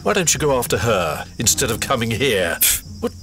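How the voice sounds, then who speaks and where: A sneering, gloating trader. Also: male voice, mid-range voice, sing-song, moderate pace, fairly bright tone, faint music behind. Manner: sarcastic, mocking. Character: sneering, gloating colonial trader, a grown man. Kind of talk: radio play acting.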